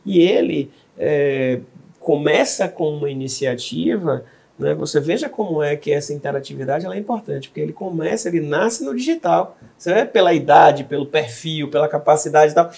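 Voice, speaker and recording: Husky, gravelly male co-host. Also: on the high side, expressive, moderate pace, a little mellow. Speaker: podcast co-host. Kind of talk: interview conversation.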